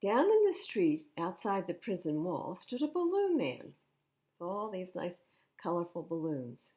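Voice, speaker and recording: A woman reading a picture book aloud to children at storytime, expressively, pausing to explain the pictures in simple words. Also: on the low side, wildly swooping pitch, dark tone. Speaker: library storytime reader.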